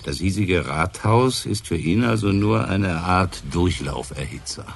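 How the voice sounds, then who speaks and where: An actor playing a dry, sardonic police inspector who probes with irony in a naturalistic radio crime play. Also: male voice, deep voice, expressive, a little slow, creaky, slight background noise, heavily acted. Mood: dry, probing. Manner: dry, ironic, probing.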